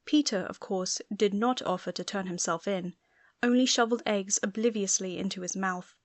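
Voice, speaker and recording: A woman narrates a story as a scripted audiobook. Her voice sits at a medium pitch, in a close recording with no room sound. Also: moderate pace, no room sound.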